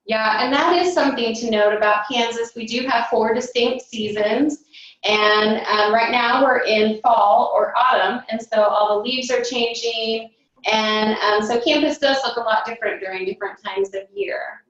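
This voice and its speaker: A woman's voice: on the high side, moderate pace, a bit bright. A woman on admissions staff hosting a webinar, talking in a friendly way with many fillers as she guides attendees. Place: office or meeting room, off camera. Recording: echoey room, far from mic, dull sound.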